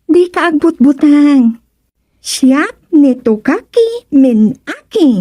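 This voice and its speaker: A woman's voice: sits high, very animated, drawn-out words, warm tone, dry recording, studio quality, full character voice. A woman playing a mysterious frog princess turned beautiful young woman, theatrical, in a comedy radio drama. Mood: playful.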